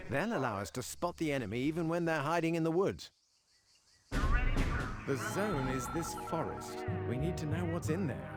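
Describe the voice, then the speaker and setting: A man playing a brisk, cautious military adviser giving mission briefings in a video game. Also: a little high. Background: loud music.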